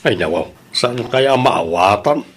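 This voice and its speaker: A man with an Ilocano accent playing a defensive, exasperated village captain and husband in an audio drama, his voice husky. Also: fairly low pitch, lively intonation, slow pace, full character voice. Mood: indignant. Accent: Ilocano, northern Philippines.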